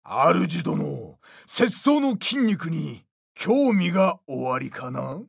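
A muscle-proud warrior monk from a game. He speaks in booming, old-fashioned phrases with a gravelly edge. His fairly high male pitch moves in a lively way. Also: drawn-out words, dry recording, clean studio sound, full character voice. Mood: hearty. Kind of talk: Japanese game character acting.

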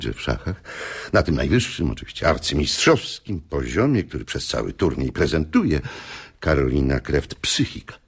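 An actor playing a scheming, easily flustered chess coach in a radio drama, stammering and repeating himself. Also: male voice, extremely low pitch, slightly rough, exaggerated voice. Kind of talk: Polish radio drama acting.

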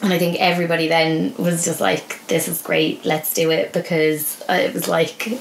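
A woman's voice: fairly low pitch, flat delivery, normal speed, vocal fry, a little hiss or hum. A young Irish woman telling stories casually as a podcast guest, in a rambling, conversational way, in a small room. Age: young adult. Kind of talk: casual storytelling chat. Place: small indoor room with beanbags. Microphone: podcast microphone on stand. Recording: roomy, echoing small room.

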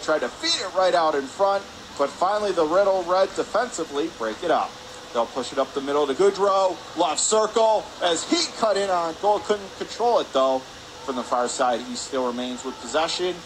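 A man calling live hockey play-by-play for radio, in clipped, urgent phrases as the action moves. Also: a little high. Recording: clear arena noise behind voice. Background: ice rink ambience.

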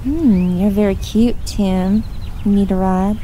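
An actress playing a flirtatious, cruel kidnapper. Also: female voice, medium pitch, steady tone, slow pace, dark tone, a little hiss or hum, natural voice. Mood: taunting. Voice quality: flirtatious, mocking.

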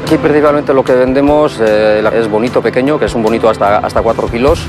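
A man's voice: medium pitch, fast talker, over loud music. A man with a Spanish accent from the fish market, explaining the fishing trade with many hesitant fillers, interviewed at a port.

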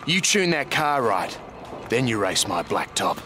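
Slow, grandiloquent wasteland race master. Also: male voice, medium pitch, sing-song, very noisy. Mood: sly, commanding. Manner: florid, rhyming, preacher-like oratory. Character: grandiloquent wasteland race master, sly theatrical hustler-preacher. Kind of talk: theatrical game character acting.

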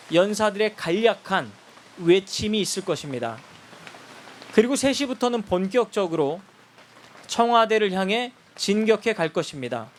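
A man giving a formal, exhortatory rally announcement, speaking solemnly and emphatically in short, deliberate phrases. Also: high-pitched.

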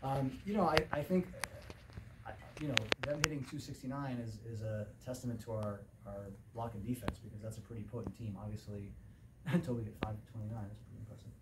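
A man's voice: fairly low pitch, brisk. A middle-aged man, a volleyball coach, answering questions at a post-game press conference in an indoor room. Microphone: distant camera microphone. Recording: distant camera pickup with clear room noise.

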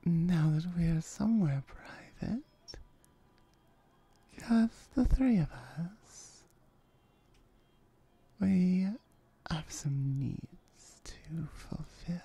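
A woman voicing a confident, flirtatious female hellhound in a roleplay ASMR audio drama, sultry and suggestive, lingering on words with breathy whispers. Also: on the low side, extremely slow, pauses often, warm tone, exaggerated voice. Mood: seductive.

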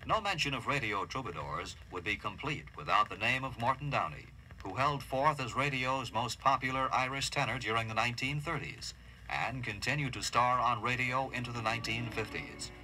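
An American man reading scripted documentary narration in a polished, measured announcer delivery. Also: mid-range voice, bright, forceful tone, low-quality recording. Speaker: radio history narrator. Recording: vinyl record with light background noise.

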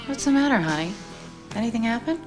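A woman's voice: a little high, lively intonation, fast talker, music in the background, natural voice. A middle-aged woman playing a weary, caring mother in a scripted educational video. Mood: concerned. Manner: sighing, exasperated.

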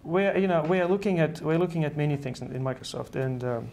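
A man with a gravelly edge to his voice explains things earnestly, often doubling back on his words. He speaks in a studio interview over a lapel mic, with faint hiss underneath. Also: a little high, quick pace, a little mellow. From Estonia. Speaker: guest, software engineer. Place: virtual news-style studio set.

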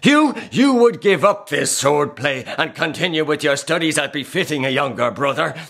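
An actor playing a haunted, war-weary crusader uncle in a historical radio drama, grave, halting and dramatic. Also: male voice, a little high, lively intonation, vocal fry, fairly bright tone. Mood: pressing, sorrowful.

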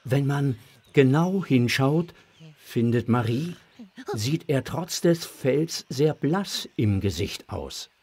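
A man with a husky voice, narrating a scripted children's story expressively. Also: medium pitch, expressive, takes it slowly, darkish tone.

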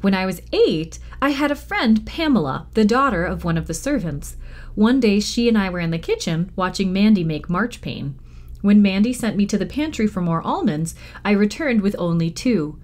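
A woman reading a children's novel aloud in expressive storytime narration. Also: medium pitch, normal speed. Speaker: library storytime reader.

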